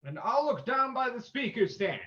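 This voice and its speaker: A man playing a bold, confident gentleman detective in an old-time radio drama, theatrical and commanding. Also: very high-pitched, very animated, moderate pace, lo-fi sound, full character voice. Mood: brisk, curious.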